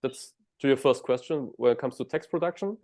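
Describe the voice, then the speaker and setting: A man with a German accent, speaking English as a second language, explains things in an interview. His voice sits at an ordinary middle pitch. He builds points step by step, often stopping and restarting words. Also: brisk, darkish tone. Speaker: guest.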